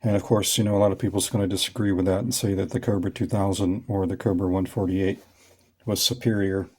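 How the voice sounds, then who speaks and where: A middle-aged man giving a casual, explanatory demonstration as a radio hobbyist, speaking in measured phrases. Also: low-pitched, fairly even intonation, darkish tone, husky.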